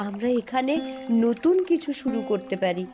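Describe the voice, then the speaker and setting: High-voiced widowed mother, played by a woman, gentle but resolute, unhurried cartoon delivery over music. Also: expressive, close to an everyday voice. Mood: tender, hopeful. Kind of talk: cartoon story voice acting. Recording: music behind the voice.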